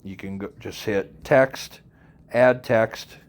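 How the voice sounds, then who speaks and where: A man narrating an unscripted software tutorial, informally walking the listener through it step by step. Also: fairly low pitch, takes it slowly. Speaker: tutorial presenter.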